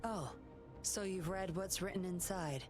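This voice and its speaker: An actress voicing a composed, sly woman puppeteer and undercover patroller in a video game, speaking in a cool, deliberate, teasing way. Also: on the low side, over music, lightly acted. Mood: cool, commanding. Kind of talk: scripted video game voice acting.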